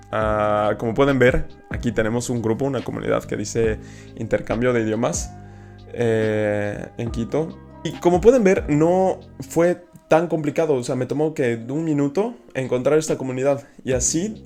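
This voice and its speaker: A man from Ecuador with an Ecuadorian Spanish accent, explaining casually to camera in an animated, enthusiastic way. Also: medium pitch. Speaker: host.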